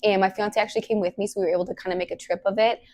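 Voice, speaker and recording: Hoarse young woman hosting a podcast. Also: medium pitch, fairly even intonation, rapid-fire, darkish tone. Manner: casual, many "like" fillers, earnest. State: hoarse, partly lost voice. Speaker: podcast host. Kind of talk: solo podcast talk.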